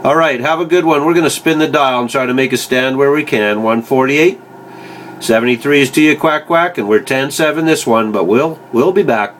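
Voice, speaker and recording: A man's voice: mid-range voice, fairly quick, a bit bright, slight background noise. A man from Canada chatting casually as a CB radio operator, folksy, with call signs and stock radio phrases. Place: indoors beside a CB radio set.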